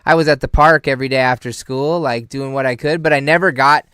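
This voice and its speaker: A man in his thirties hosting a relaxed interview, laughing often and calling his guest dude. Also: medium pitch, fairly quick, clear, resonant tone, no echo, studio quality. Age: 30s. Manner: laid-back, laughs often, says dude a lot. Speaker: podcast host. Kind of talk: casual interview chat.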